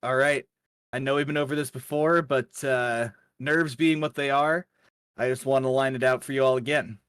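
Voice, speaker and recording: Man acting as seasoned male mentor, folksy, encouraging, halting delivery, tabletop fantasy game, dry close sound. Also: mid-range voice, fairly even intonation, fairly bright tone, clean tone, dry recording, close to an everyday voice. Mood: businesslike. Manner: encouraging, colloquial, hesitant.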